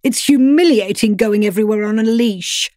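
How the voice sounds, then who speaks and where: A woman's voice: mid-range voice, moderate pace, darkish tone, no echo, studio quality, pitched above the performer's own voice, natural voice. A woman voicing a grumpy, defiant male pet dog who rails against his owners. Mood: scornful. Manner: scornful, defiant, speechifying.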